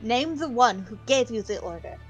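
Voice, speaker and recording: A woman's voice: on the high side, lively intonation, normal speed, soft background music, lightly acted. A woman voicing a stern, earnest male knight with formal, courtly speech in an amateur video game dub.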